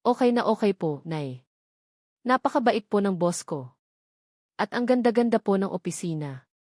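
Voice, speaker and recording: A woman's voice: medium pitch, animated, normal speed, darkish tone, no echo, studio quality, close to an everyday voice. Polite young working mother, voiced by a woman narrator. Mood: forced cheer. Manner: respectful, courteous.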